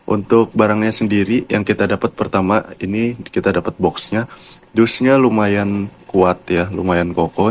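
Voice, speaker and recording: A man with a colloquial Jakarta Indonesian accent, giving a casual, chatty product review and addressing viewers like mates. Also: lowish voice. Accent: Jakarta-style colloquial Indonesian. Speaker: YouTube motovlogger and product reviewer.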